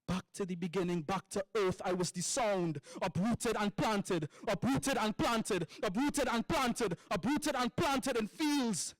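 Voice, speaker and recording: A man's voice: sits high, measured pace, hardly any pauses, no room sound, faint music behind. A young man with a Trinidadian accent performing a spoken word poem with rhythmic, emphatic delivery. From Trinidad and Tobago. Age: young adult. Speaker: poet.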